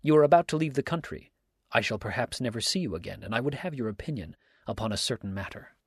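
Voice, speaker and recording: A man voicing a stern, righteous countryman in an audiobook, speaking in a measured, formal, sermonizing way. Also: medium pitch, lively intonation, slightly rough, no room sound, clean studio sound. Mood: probing, measured. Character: stern, unhurried righteous countryman, preaching and probing. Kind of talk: audiobook character dialogue.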